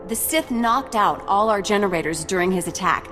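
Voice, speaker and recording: An actress playing an earnest research facility survivor in a video game, explaining things with concern. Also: female voice, medium pitch, lively intonation, moderate pace, a bit bright, close to an everyday voice. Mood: worried. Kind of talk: video game cutscene acting. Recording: long hall reverb, music behind.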